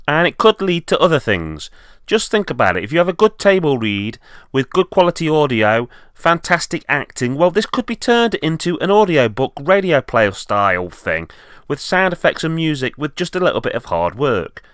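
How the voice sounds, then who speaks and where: A man's voice: fairly high pitch, sing-song, moderate pace, a bit bright, clean tone, no room sound, studio quality. A man presenting an enthusiastic fan update as a video host, speaking in an earnest way.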